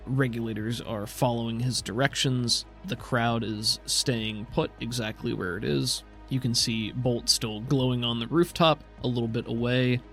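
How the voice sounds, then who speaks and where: A man runs a tabletop roleplaying game, describing each scene in a conversational, improvised way. His voice is mid-pitched, with faint music behind it. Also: fairly even intonation, moderate pace, gravelly, few pauses, fairly bright tone. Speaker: game master.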